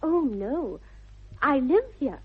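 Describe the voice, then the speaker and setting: An actress playing a sweet, prim, self-possessed young girl with an upper-class English accent and a soft, breathy voice, demurely formal in a 1960s radio comedy of manners. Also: high voice, expressive, takes it slowly. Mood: sweet, polite. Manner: demure, polite, carefully formal. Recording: dull vintage 1960s radio recording.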